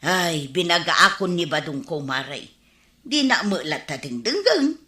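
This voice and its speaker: An actress with an Ilocano accent playing a chatty, disapproving village wife in a radio comedy-drama. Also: female voice, fairly low pitch, sing-song, moderate pace, bright, forceful tone. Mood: inquisitive. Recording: roomy, echoing studio sound.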